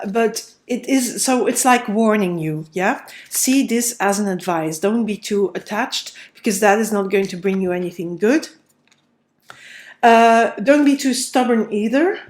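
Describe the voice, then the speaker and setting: A woman with a cold and a slightly nasal voice, thinking aloud as she gives a tarot card reading, with many fillers and restarts. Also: medium pitch. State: stuffy nose from a cold. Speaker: tarot reader.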